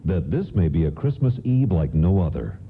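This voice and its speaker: A man narrating a scripted radio play as a measured storyteller. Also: low-pitched, very animated. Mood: measured storytelling. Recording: thin phone-like band, noisy radio broadcast.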